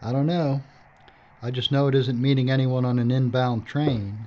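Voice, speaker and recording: A man's voice: medium pitch, steady tone, normal speed, close to an everyday voice. Actor as a wry, practical man, dull sound. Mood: matter-of-fact. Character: practical, pedantic man filmmaker, quick to correct. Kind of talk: audio drama acting. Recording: dull lo-fi audio, faint noise.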